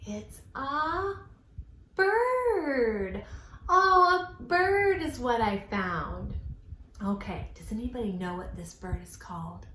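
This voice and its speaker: A woman leading an interactive storytime for young children in an animated, encouraging, sing-song way. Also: mid-range voice, measured pace, roomy sound, slight background noise. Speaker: children's library storytime host.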